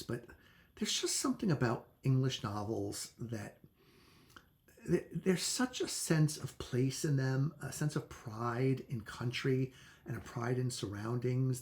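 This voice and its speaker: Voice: mid-range voice, creaky, a little mellow. A book reviewer talking casually and thinking aloud, chatty and opinionated, with hesitant phrasing.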